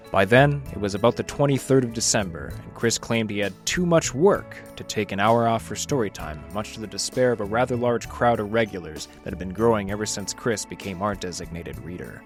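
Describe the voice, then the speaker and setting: An actor playing a wry, folksy library detective who narrates and talks in a noir-style radio play, with a kindly streak. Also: male voice, fairly low pitch.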